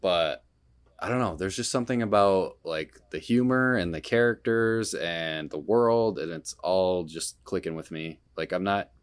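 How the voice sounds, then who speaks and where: A man's voice: sits low, measured pace, clear, resonant tone. A young man chatting casually about video games as a podcast host, in a rambling way full of hedges.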